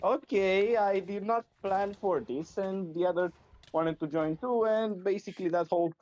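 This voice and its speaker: Brief: Romanian man, a little high, hesitant voice-chat speaker on a dull lo-fi mic. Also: a little mellow. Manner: confused, halting, lots of fillers. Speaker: voice chat participant. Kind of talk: casual group chat.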